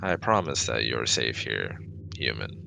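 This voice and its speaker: A man's voice: extremely low pitch, very animated, measured pace, vocal fry, background noise, exaggerated voice. A man voicing a formal, cautious but kind male alien with precise, stiff wording in a sci-fi ASMR roleplay. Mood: gentle, formal.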